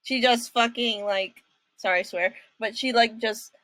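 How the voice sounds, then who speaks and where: A woman hosting a reaction video with casual commentary, speaking haltingly and often repeating her phrases. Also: on the high side, steady tone, a bit bright, no room sound. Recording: music behind the voice.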